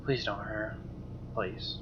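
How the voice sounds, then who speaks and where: A man reading visual novel narration aloud as a let's player, expressive, with casual asides and reactions. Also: on the low side, slow pace, background noise. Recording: dull lo-fi mic, music clearly behind. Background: game music.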